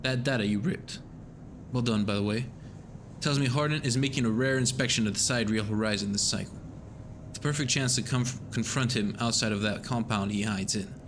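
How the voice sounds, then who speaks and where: A man voicing an intense, bitter male station worker who talks with grim urgency, his voice going hoarse. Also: mid-range voice, fairly quick, noisy background, close to an everyday voice. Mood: urgent.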